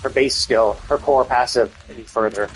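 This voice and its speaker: A man's voice: mid-range voice, steady tone, normal speed, low-quality recording. A man thinking aloud in a rambling, casual way as he streams a video game. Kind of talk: casual livestream gameplay chat. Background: music.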